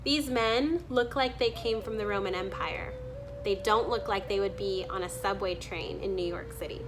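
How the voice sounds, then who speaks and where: A woman's voice: medium pitch, over music. A woman reading a children's book aloud, stopping to add her own offhand remarks about the pictures. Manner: pauses to remark on the illustrations. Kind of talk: read-aloud with spontaneous comments on pictures.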